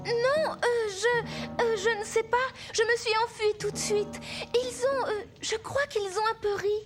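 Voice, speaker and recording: A really high girlish cartoon princess, earnest n curious, music playing behind. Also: measured pace. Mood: shaken, frightened. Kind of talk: French animated film dubbing.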